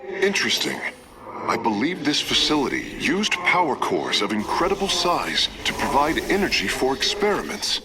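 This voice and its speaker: A man's voice: medium pitch, wildly swooping pitch, moderate pace, noisy background. A man playing a polite, studious alien engineer in a video game, giving precise, formal technical reports in a deferential, eager manner. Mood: businesslike reporting. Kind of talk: dramatic video game character acting. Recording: voice under loud game music.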